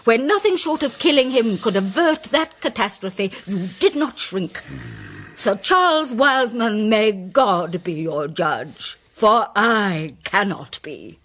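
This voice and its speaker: A woman's voice: mid-range voice, lively intonation, a bit bright. Imperious British woman accuser, dramatically swooping delivery. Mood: solemn, accusing. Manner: declamatory, deliberate courtroom-style delivery. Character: imperious middle-aged woman, righteous amateur detective accuser. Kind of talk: theatrical radio drama acting.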